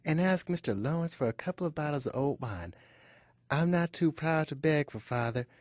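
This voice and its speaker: Woman playing a calm, steadfast mother. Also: sits low, normal speed, no room sound. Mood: composed, urgent. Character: calm, steadfast middle-aged mother in wartime. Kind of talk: amateur audio drama of a classic novel.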